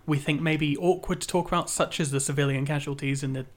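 A man co-hosting a casual podcast, chatty and quick to laugh. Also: fairly high pitch, steady tone. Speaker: podcast co-host. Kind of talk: casual chat.

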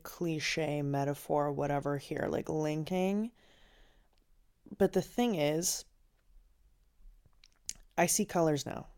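Podcast host, woman, low register, casual and reflective solo talk, filler-heavy and unscripted. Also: dark tone. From Finland. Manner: candid and reflective, with frequent "like" and "um" fillers. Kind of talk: casual personal monologue.

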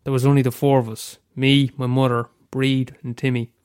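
A man's voice: medium pitch, level tone, moderate pace, darkish tone, clear, resonant tone, dry recording, studio quality. A young Irish man with a head cold, reading aloud a short story he wrote, after a hesitant casual introduction. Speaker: amateur storyteller.

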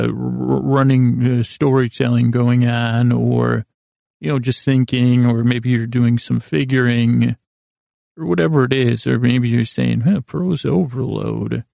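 Male sleep-podcast host: heavy vocal fry, dry close sound, languid rambling delivery full of false starts. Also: medium pitch, darkish tone, dry recording. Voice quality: gravelly, creaky. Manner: meandering, halting, stammering with false starts. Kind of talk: rambling bedtime monologue to help listeners sleep.

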